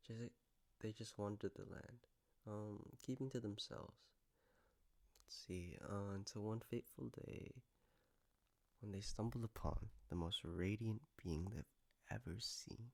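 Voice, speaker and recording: A man acting as a doting boyfriend in an intimate comfort roleplay. His voice sits low with plenty of gravelly fry. He speaks tenderly and a little haltingly, with gentle playfulness. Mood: sleepy storytelling. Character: gentle, doting male partner soothing a lover after a nightmare.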